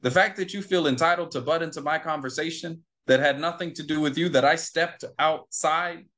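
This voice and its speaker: Guy, kinda high voice, telling a story in an interview, acts out both sides and repeats stuff to drive it home. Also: a little fast. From United States. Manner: animated, acts out quoted exchanges, repeats himself for emphasis. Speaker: interview guest. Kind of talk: conversational storytelling.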